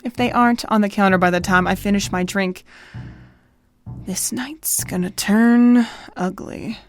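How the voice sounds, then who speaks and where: A woman's voice: medium pitch, unhurried, close to an everyday voice. A woman voicing a bookish pony who dreams of writing novels, in an audio drama. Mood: hard-boiled, menacing. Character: bookish filly pony, later a brash aspiring novelist. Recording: music behind the voice.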